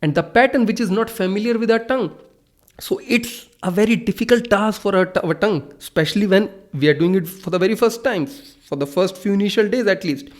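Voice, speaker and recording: A man's voice: sits high, brisk. A young Hindi-speaking man with an Indian accent, talking earnestly into his phone camera, repeating himself and restarting sentences. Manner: earnest, repetitive, restarts often. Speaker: English-speaking channel host. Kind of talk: casual to-camera talk.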